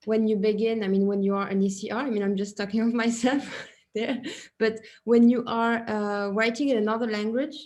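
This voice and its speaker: A woman's voice: on the high side, steady tone, a little fast, darkish tone. A young woman with a French accent asking a question hesitantly on a video call, with many fillers and restarts. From France. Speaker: early-career researcher asking a question. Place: small office with shelves, indoors.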